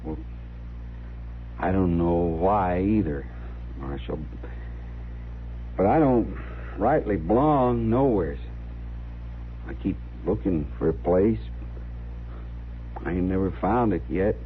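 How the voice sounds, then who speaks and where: An actor playing a humble, melancholy drifter in an Old West radio drama, with halting, folksy rural speech. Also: male voice, low voice, lively intonation. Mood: humble, wistful. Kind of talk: 1950s radio western acting. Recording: very muffled, noisy old radio recording.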